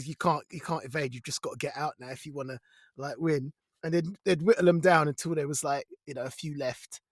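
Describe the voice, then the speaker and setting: British man, animated intonation, casual podcast chat, excitable, colloquial, dry close sound. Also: high voice, no room sound. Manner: chatty, excitable, colloquial, often breaking into laughter. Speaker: podcast co-host. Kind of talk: casual chat, reviewing television.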